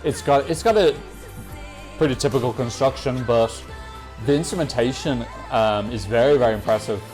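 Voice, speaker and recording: A man's voice: medium pitch, moderate pace, a little mellow, rough recording. A man hosting a Eurovision reaction video, giving chatty, opinionated commentary and correcting himself as he goes. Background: faint music from the songs being reacted to.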